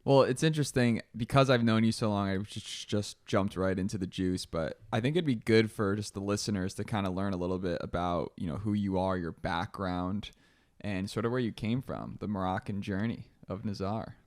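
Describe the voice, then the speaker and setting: A man hosting a podcast, asking questions in a relaxed, conversational way. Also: on the low side, moderate pace, no echo, clean studio sound. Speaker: podcast host. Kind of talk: casual interview chat.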